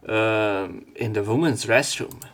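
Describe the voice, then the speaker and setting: Low-voiced man narrating a visual novel over music. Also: takes it slowly, fairly bright tone. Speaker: let's play host reading aloud and commenting. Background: game music.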